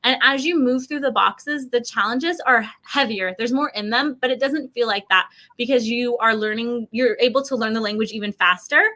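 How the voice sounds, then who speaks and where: Bright, higher-pitched American woman chatting. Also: normal speed, few pauses. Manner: animated, uses mimicked quotes and frequent "like". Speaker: company representative presenting a product. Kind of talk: casual, chatty product pitch in an interview.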